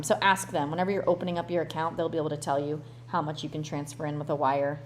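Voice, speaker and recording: A woman's voice: low voice, darkish tone, a little hiss or hum. Chatty woman hosting a live Q&A. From born in Russia, grew up in the United States. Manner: chatty, loose, thinks aloud with fillers and restarts. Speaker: relocation consultant hosting a livestream Q&A. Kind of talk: casual live Q&A, reading comments aloud.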